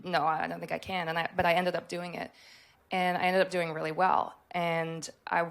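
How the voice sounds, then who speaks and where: Gravelly-voiced Australian woman on a panel. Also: fairly low pitch, little pitch movement. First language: Polish. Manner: thoughtful, halting with many fillers. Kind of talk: casual panel talk. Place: convention panel room.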